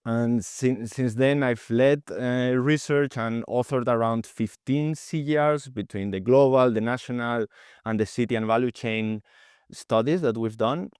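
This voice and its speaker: A man's voice: medium pitch, unhurried, darkish tone, clear, resonant tone, dry recording, studio quality. A man from Spain in his thirties, a researcher answering podcast interview questions, hesitant with frequent repeated words and restarts. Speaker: guest researcher.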